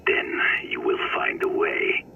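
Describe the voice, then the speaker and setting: An actor playing an older male mentor who guides the hero over the radio, calm and commanding. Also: takes it slowly, phone-quality sound, background noise, close to an everyday voice.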